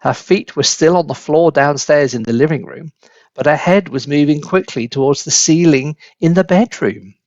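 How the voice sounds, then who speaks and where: A man reading a children's story aloud as a dramatic, deliberate, expressive narrator. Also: fairly high pitch, dry recording, highs cut off. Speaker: storybook reader.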